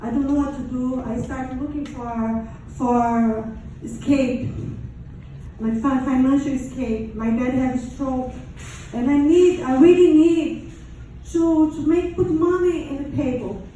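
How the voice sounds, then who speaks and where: A woman from Brazil with a Brazilian Portuguese accent gives a personal testimony to an audience, haltingly and in non-native English. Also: high voice, steady tone, dark tone. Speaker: survivor giving testimony. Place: large echoey room, before an audience. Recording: very echoey room, distant mic, faint noise.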